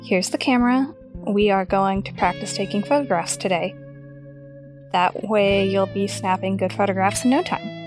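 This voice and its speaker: A woman's voice: medium pitch, moderate pace, soft background music, lightly acted. A woman playing a bossy, dryly teasing teenage girl in an audio drama. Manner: dry, teasing, directive.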